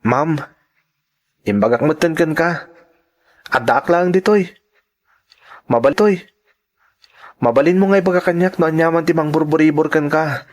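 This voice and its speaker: A man's voice: fairly high pitch, moderate pace, long pauses, close to an everyday voice. A man with a Filipino accent playing a polite, devoted young student in an earnest radio drama style. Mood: concerned. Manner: respectful, earnest. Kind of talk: Ilocano radio drama acting.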